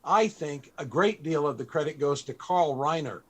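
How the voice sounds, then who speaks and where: An older man hosting an interview, chatty and a bit halting, with frequent chuckles. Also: on the high side, lively intonation. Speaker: panel host.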